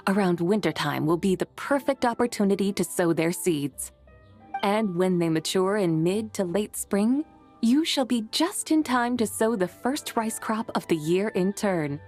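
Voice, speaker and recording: A woman's voice: mid-range voice, a little mellow, lightly acted. Woman voicing a proud stern goddess of farming, haughty old-timey wording, game dialogue with music behind. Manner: haughty, archaic, lecturing diction. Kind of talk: game voice acting.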